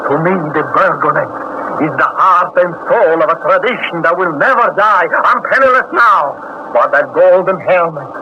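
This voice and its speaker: An actor playing a haughty, fanatical fallen aristocrat in a pompous, theatrical 1940s radio style, on a dull, noisy vintage recording. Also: male voice, high-pitched, animated, moderate pace. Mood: grandiose, gloating. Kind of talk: 1940s radio drama acting.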